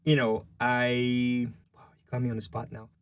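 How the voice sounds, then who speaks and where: A man's voice: mid-range voice, monotone, normal speed, clear, resonant tone. A young man from Brazil with a Brazilian Portuguese accent, a journalism student answering interview questions casually, full of "you know" and "like". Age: young adult. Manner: chatty, lots of fillers like "you know" and "like".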